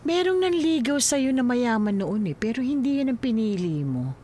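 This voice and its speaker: A woman playing a concerned, inquisitive elderly mother in a radio drama, teasing and expressive. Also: fairly high pitch, a little slow, slight background noise. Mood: prying, teasing. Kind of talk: Filipino radio drama acting.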